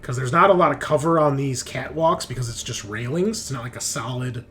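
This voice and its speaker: A man running a tabletop game, narrating off the cuff in a casual, halting conversational way. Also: mid-range voice, fairly bright tone. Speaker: game master.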